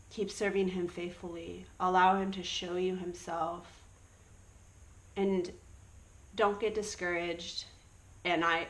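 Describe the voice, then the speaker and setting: A woman's voice: lowish voice, low-quality recording. A woman in her early thirties sharing her personal faith testimony in an earnest, encouraging way. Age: 30s. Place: Australia.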